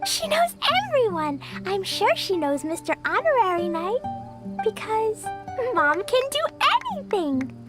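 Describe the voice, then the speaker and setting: A woman's voice: extremely high pitch, sing-song, full character voice. A woman voicing a cheerful, playful little girl for a video game, with guileless, childish, gushing delivery. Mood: eager, proud. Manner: childish simple phrasing, drawn-out, gushing. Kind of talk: animated video game voice acting. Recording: music behind the voice.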